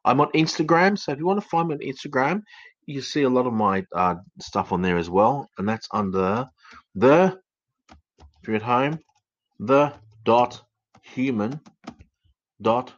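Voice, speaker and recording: A man's voice: medium pitch, animated, measured pace, long pauses, lo-fi sound. A middle-aged counsellor hosting his podcast from home, with an Australian accent that has a few English traces, chatting casually and rambling with many fillers and restarts. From United Kingdom, raised in Australia. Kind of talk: casual live-stream podcast talk.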